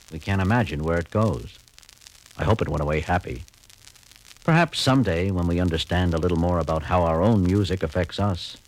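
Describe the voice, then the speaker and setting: An actor playing a commanding, thoughtful starship captain in a 1970s audio drama, with clipped orders and earnest delivery, on a vintage record. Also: male voice, low-pitched, rough recording.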